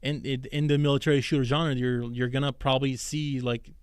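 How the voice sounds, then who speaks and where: A man from Iraq talks fast and loose on a live podcast, circling back with restarts and fillers. He sounds a little sleepy. The sound is close and dry. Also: medium pitch, level tone, no room sound. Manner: rambling, many restarts and fillers. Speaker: podcast host. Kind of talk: casual live podcast chat.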